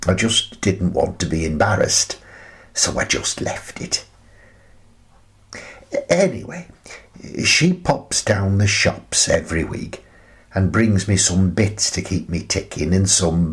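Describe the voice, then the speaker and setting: A gentle, eccentric older British man, played by an actor, speaks in a deep voice at a very unhurried pace. He rambles wistfully through a radio play monologue, pausing and hesitating as he reflects. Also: animated. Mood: wry, rambling. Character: gentle, wistful, eccentric old grandfather living in a playhouse.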